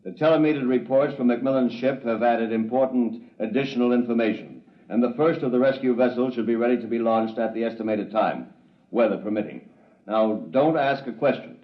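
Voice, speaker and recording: An actor playing a curt, no-nonsense military officer briefing the press in an old radio drama, with a clipped, commanding delivery. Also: male voice, mid-range voice, a little fast, natural voice. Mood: brusque, official. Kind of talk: mid-century radio drama acting. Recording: dull, echoey old radio recording.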